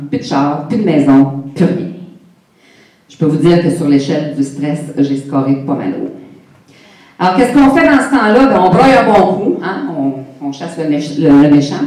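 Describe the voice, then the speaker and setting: A woman's voice: fairly low pitch, darkish tone. A woman in her fifties from Quebec, with a Québécois French accent, telling her own story on stage in a candid, humorous way and speaking straight to the audience. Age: 50s. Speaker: conference speaker. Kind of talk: motivational personal story talk. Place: large hall with an audience. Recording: echoey hall, voice from a distance.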